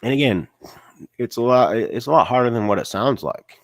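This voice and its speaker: A man's voice: medium pitch, expressive, moderate pace, no echo. A man with a cold, sounding stuffy and nasal, giving a casual faith-based motivational talk full of "you know" and "right?" fillers. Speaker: online business vlogger.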